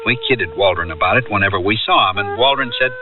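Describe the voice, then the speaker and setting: Actor as a wistful man reminiscing, low voice, narrow band. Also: animated, fast, recorded from a distance, lightly acted. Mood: wistful, reflective. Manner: reflective, conversational storytelling. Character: wistful ordinary man recalling a youthful summer holiday. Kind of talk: 1970s radio drama first-person narration. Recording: muffled radio drama tape, music behind.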